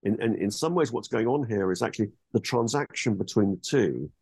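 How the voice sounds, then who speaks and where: A man's voice: fairly low pitch, lively intonation, fairly quick, darkish tone, slightly rough, no echo. A man being interviewed as an author, speaking thoughtfully and hesitantly with frequent restarts. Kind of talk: academic interview.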